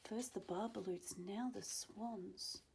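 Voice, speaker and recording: A woman reading a children's story aloud expressively, with dramatic pauses and asides to young listeners. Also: mid-range voice, vocal fry, a little mellow, slight background noise. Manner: dramatic pauses, drawn-out phrasing.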